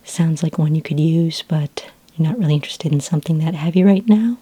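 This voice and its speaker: Soft, whispery woman, low and mellow. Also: breathy edge. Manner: gentle, unhurried, helpful, conversational. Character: friendly, patient female sci-fi library librarian. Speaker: ASMR roleplayer as a friendly librarian. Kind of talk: soft-spoken ASMR roleplay. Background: computer keyboard typing.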